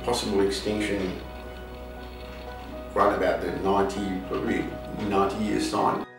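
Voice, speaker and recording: A man from Mauritius speaking slowly and plainly in an interview. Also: lowish voice, fairly even intonation, vocal fry. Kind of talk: interview testimony. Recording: echoey room from a distance, music behind.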